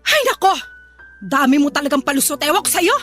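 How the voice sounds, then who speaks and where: A woman acting in a radio drama as a scornful, nagging older mother-in-law with a sharp, sarcastic, scolding manner. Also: high voice, expressive, a little fast, soft background music. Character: scornful, nagging older mother-in-law who belittles her daughter's partner.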